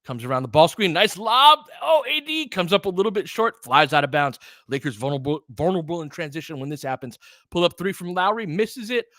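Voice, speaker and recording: A man's voice: fairly high pitch, sing-song, a little fast, sharp tone, no echo, studio quality. A man doing casual live basketball commentary as a livestream host, talking informally and directly to his viewers.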